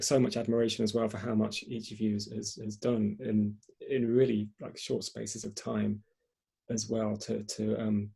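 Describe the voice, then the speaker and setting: Male event host, creaky voice, mellow tone, hesitant delivery with repeated words, online panel hosting. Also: on the low side.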